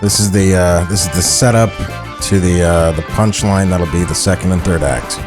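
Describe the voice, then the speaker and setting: A man co-hosting a comedy podcast, chatting casually in a joking, animated way. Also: low-pitched, normal speed, music in the background. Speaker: podcast co-host.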